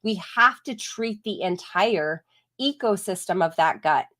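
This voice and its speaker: A woman's voice: medium pitch, expressive, measured pace, a bit bright. Woman, 30s, holistic vet, stuffy from a cold, casual explainer tone. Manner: chatty, explanatory, frequent "like" and "right?". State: congested from a chest cold. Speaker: holistic veterinarian. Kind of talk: live-streamed educational talk.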